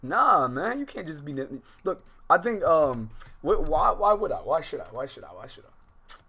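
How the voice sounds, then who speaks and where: A man's voice: a little high, very animated, creaky, bright, forceful tone, slightly rough. An American man doing casual, slangy livestream commentary on a game, rambling and often interrupting himself. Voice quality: informal slangy speech. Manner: rambling, halting, self-interrupting. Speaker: livestream host. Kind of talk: casual gaming livestream commentary, reading visual novel aloud.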